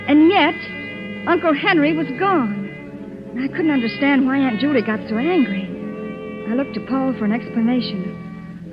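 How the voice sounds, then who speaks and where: A woman's voice: high voice, close to an everyday voice. Woman acting an anxious young wife, muffled old radio. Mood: troubled, narrating. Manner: earnest, fretful. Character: anxious, gentle young wife visiting her strange aunt. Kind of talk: 1940s radio drama acting, with narration.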